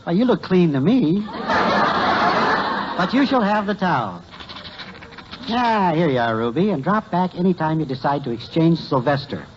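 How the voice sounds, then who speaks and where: An actor playing a suave, impudent shop clerk in 1950s radio comedy, with an oily, exaggeratedly romantic and mock-courtly manner. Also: male voice, fairly high pitch, lively intonation, moderate pace, long pauses, close to an everyday voice. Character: flirtatious, smarmy department store clerk, suave and impudent. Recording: very noisy muffled 1950s radio recording.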